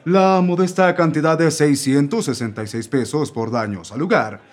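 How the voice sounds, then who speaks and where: A man's voice: on the high side, normal speed, lightly acted. A man playing a pompous defense lawyer demanding damages in a comic radio court sketch, with a Mexican Spanish accent. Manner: loud, formal demanding.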